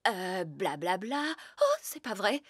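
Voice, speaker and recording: A woman's voice: mid-range voice, sing-song, slow, husky, no echo, clean studio sound, heavily acted. A woman playing a bossy, theatrical fairy nanny who casts spells in a French cartoon. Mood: sheepish. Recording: music behind the voice.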